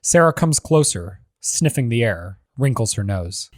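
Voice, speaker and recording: A man's voice: mid-range voice, very animated, a little slow, no echo, clean studio sound. A man giving scripted narration, reading a screenplay's stage directions as the narrator of a table read.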